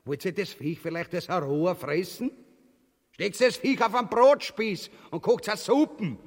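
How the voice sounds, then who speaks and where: An actor with an Austrian accent playing a pompous, gluttonous, cowardly tyrant in bombastic dialect for a grotesque comic radio drama. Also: male voice, high-pitched, unhurried. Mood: grumbling, greedy. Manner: bombastic, drawling, mock-elevated dialect speech. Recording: long hall-like reverb.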